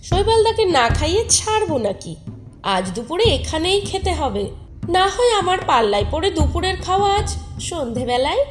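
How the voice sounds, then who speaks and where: Flustered young wife, high-pitched and expressive. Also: female voice, moderate pace. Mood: anxious. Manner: expressive, emotional, intimate first-person telling. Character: flustered young married Bengali woman narrating her secret love. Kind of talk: dramatised short-story narration with acted dialogue. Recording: music behind the voice. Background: soft music.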